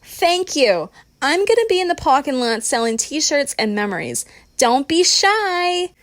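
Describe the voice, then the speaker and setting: A woman acts out a brash New woman who loves the spotlight. She declaims boastfully and theatrically, pitched higher and a bit louder, like a tipsy diva in a comic audiobook, in a dry close recording. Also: expressive, unhurried, no room sound. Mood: triumphant, theatrical. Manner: loud, boastful, dramatic declaiming. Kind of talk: audiobook character voice.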